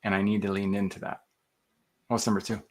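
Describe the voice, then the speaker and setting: A man's voice: lowish voice, flat delivery, fairly quick. A man hosting a podcast, talking earnestly and animatedly in casual chat, full of fillers and quoted self-talk. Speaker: podcast host.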